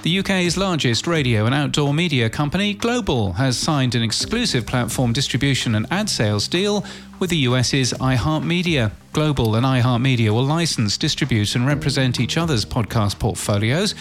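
A man presenting a scripted news bulletin in a crisp headline style with brief dry asides. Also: medium pitch, lively intonation, moderate pace, soft background music.

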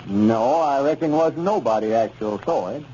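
An actor playing a self-important, impatient country storekeeper with a rural Arkansas accent and a pompous, folksy way of scolding, in a 1930s radio comedy. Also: male voice, medium pitch, expressive, moderate pace, warm tone, natural voice. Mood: eager, self-important. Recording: muffled, noisy 1930s radio recording.

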